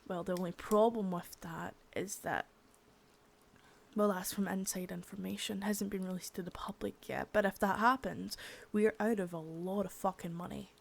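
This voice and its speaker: A woman's voice: mid-range voice, fairly even intonation, normal speed, creaky. A woman playing a stern, cursing boss. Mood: scolding. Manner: harsh, profane, cold, drifts into whispers. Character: stern foul-mouthed female boss, intimidating. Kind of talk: ASMR roleplay.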